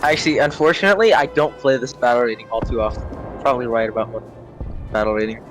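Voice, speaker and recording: A man's voice: mid-range voice, fast, music in the background. A young man chatting casually while gaming, with a slangy, profane way of talking. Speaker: gamer chatting during play.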